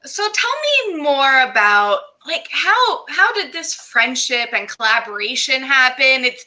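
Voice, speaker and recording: Very bright, high-pitched woman interviewer. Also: sing-song, a little slow. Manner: effusive, casual filler "like". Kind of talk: casual, gushing chat.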